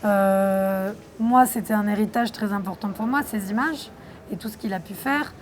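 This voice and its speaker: A young woman from France answering interview questions about her documentary, reflective and thoughtful, pausing often. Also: medium pitch, a little hiss or hum. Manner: reflective, thoughtful, with frequent hesitations. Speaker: documentary filmmaker being interviewed.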